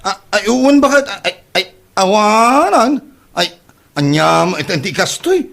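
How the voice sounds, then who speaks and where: A man's voice: high-pitched, big pitch swings, unhurried, creaky, fairly bright tone, heavily acted. A man playing a boastful, debt-ridden Ilocano man who whines and stammers in a comedy drama. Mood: flustered, panicky. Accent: Filipino, Ilocano. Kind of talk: comedy audio drama acting.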